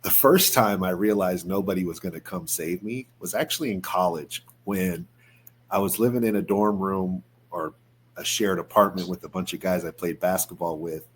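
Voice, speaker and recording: Man, forties, very low pitch, casual podcast hosting, slight background hum. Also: moderate pace. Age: 40s. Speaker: podcast host.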